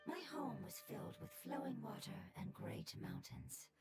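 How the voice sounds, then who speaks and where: A woman playing an ancient, formal spirit in a fungus's body for a video game, speaking in measured, whispery phrases through reverb. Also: fairly high pitch, sing-song, gravelly. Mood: solemn, sorrowful. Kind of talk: scripted video game acting. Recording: game music loud behind. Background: game music.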